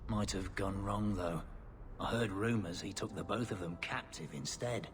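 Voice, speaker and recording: An actor playing a shifty, grudging underworld contact in a video game, offhand and evasive. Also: male voice, sits low, normal speed, close to an everyday voice. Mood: wary, grudging. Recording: long reverb, faint noise.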